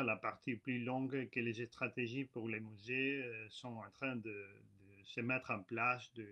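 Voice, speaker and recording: A man, not a French speaker, giving an online conference lecture in a halting, hesitant way with many filler sounds. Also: mid-range voice, bright, forceful tone. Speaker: guest lecturer. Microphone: computer mic on a video call.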